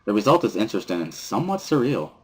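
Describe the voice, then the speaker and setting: A man reading first-person story narration from a visual novel as a dramatic reading, with a husky voice. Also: on the low side, big pitch swings, fast talker.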